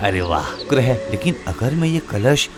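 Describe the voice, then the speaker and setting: Animated meek village potter played by a man. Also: mid-range voice, moderate pace, close to an everyday voice. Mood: amazed, scheming. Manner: hesitant, exclamatory. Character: meek, crafty poor village potter, harried husband. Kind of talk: cartoon story voice acting.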